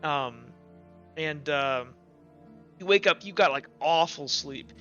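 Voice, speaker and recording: A man running a tabletop roleplaying game, improvising narration in a halting, rambling way as he thinks aloud. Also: a little high, bright, forceful tone. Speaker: game master. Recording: dull lo-fi audio, music underneath. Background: music.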